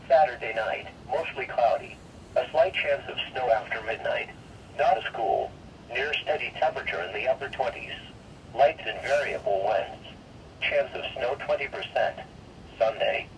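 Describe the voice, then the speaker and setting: A male weather radio announcer with a synthesized, formal and impersonal delivery, reading a scripted forecast over the radio. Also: mid-range voice. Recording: noisy, distant-sounding weather radio broadcast.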